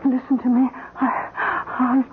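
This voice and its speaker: An actress playing a dying, devoted, clinging wife, faltering and tremulous, in radio melodrama style. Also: female voice, sits high, monotone, measured pace. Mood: weak, pleading. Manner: faltering, tremulous, repeating herself. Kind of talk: 1970s radio melodrama acting. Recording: noisy, narrow-band old radio recording.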